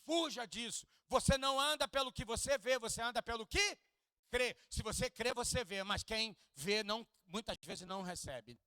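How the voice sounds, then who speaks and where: A man with a Rio de Janeiro Portuguese accent preaching a sermon in a church, dropping to hushed low asides and rising to loud, high peaks, joking with the congregation and asking them to repeat lines after him. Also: lively intonation, normal speed, no echo, clean studio sound. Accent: Carioca Portuguese. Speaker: pastor. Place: church hall.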